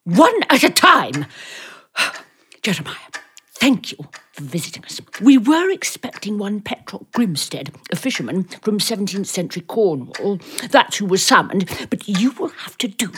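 An actress playing a prim, patient ghost who keeps the other spirits in order. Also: female voice, medium pitch, very animated, normal speed, a bit bright, a little hiss or hum. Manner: prim, patient, lightly exasperated. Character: weary spirit, leader of ghostly ladies.

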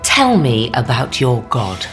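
An actress playing a hard-nosed, wry interrogator in an audio drama, questioning in a dry, insistent way. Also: female voice, very deep voice, wildly swooping pitch, takes it slowly, over music, exaggerated voice. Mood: cool, probing.

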